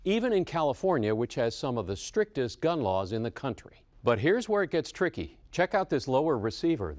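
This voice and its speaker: A man's voice: medium pitch, a little mellow, no echo. A man with an American accent reading a scripted television news report as the reporter.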